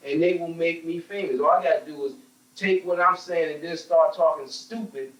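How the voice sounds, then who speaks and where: An American man with a fairly high voice argues his points in a group discussion. He is emphatic and preachy, circling back on key phrases, and is heard from a distance in a very roomy space. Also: moderate pace. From United States. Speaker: panel speaker on a religious talk show. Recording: roomy echo, distant microphone.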